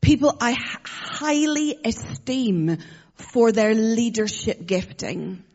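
Woman, Irish accent, guest preacher, conversational sermon, joking, fillers, slightly high voice. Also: animated, slow, a little mellow, highs cut off. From Ireland. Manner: chatty and joking, frequent fillers.

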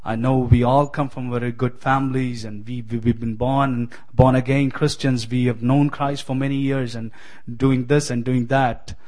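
Guy from giving a sermon, lots of ums and restarts, middle pitch, friendly. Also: little pitch movement, normal speed, few pauses, darkish tone, poor audio. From Kerala, India. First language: Malayalam. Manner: hesitant, many fillers and restarts, friendly. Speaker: guest preacher.

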